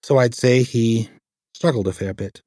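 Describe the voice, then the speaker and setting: A man's voice: medium pitch, moderate pace, warm tone, no echo, studio quality, lightly acted. A man voicing a precise, humourless female pathologist in an audiobook, formal and clinical.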